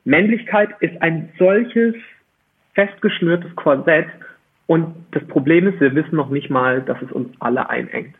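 A man's voice: on the high side, a little slow, husky. A man speaking as a podcast guest, explaining his views emphatically and articulately, with rhetorical questions. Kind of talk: conversational interview, explaining opinions. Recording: muffled phone-like band-limited line.

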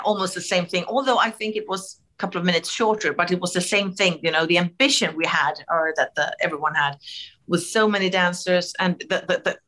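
A middle-aged Swedish woman with a Swedish accent, telling animated stories in a casual interview, laughing often and full of fillers and restarts. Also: lowish voice. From Sweden. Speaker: TV host, interview guest.

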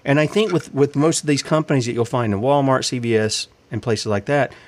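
A man's voice: medium pitch, fairly quick. A man hosting a casual interview, asking conversational leading questions. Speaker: podcast host.

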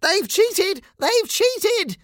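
A man voicing an excitable, urgent male pirate crewmate in a children's story. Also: high voice, moderate pace, no echo, studio quality, pitched above the performer's own voice, heavily acted. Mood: shocked, indignant. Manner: exclamatory, urgent.

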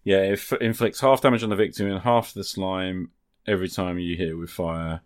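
A man's voice: low voice, fairly even intonation, a little fast, dry recording, clean studio sound. A man thinking aloud good-humouredly as he plays an improvised tabletop role-playing game in casual conversation.

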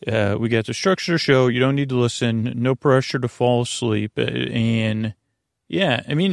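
Man, gravelly creaky voice, soothing and halting, rambling bedtime monologue, dry close mic. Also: mid-range voice, dry recording. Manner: meandering, halting, repeats and circles back on himself; soothing, lulling. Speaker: podcast host.

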